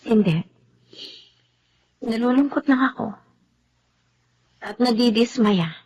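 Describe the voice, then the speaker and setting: A woman's voice: on the high side, slow, a little mellow, breathy edge, exaggerated voice, low-quality recording. A woman acting a principled, disappointed young woman, earnest and emotional, in a Filipino radio drama. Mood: sad, disappointed.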